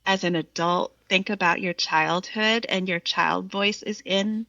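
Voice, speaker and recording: Woman, gravelly fry, dry close sound, slightly dull recording, thoughtful literary conversation, articulate explanations. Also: medium pitch, fairly even intonation, unhurried, bright, forceful tone, no room sound. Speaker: podcast guest, poet and literary scholar. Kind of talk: reflective literary conversation with some poem reading.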